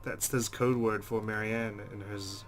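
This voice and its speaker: Low-voiced man reading a game story. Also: little pitch movement, measured pace, faint music behind. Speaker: let's play host reading a visual novel aloud. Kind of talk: narration with asides.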